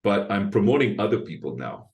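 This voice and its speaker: A man in his sixties with a deep bass voice, talking at length as an interview guest and explaining his approach point by point. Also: very animated, brisk, a little mellow. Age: 60s. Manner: talkative, explains with lists and asides. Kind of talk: casual interview talk.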